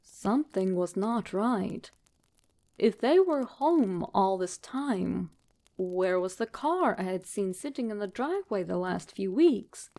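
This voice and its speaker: A woman reading a scripted horror story as a narrator, in a measured, dramatic manner. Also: medium pitch, lively intonation, dark tone.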